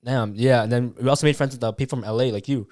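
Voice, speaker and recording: A man's voice: mid-range voice, fairly even intonation, very fast, darkish tone, dry recording, studio quality. A young man from Canada co-hosting a podcast, telling stories with energy, swearing and calling people "dude" and "bro". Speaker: podcast co-host. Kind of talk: casual chat.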